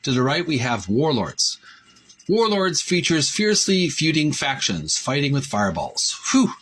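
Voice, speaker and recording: A man hosting a video channel, narrating a show-and-tell of a book, reading copy aloud and pausing between short phrases. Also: a little high, big pitch swings, fairly bright tone, slight background noise, low-quality recording.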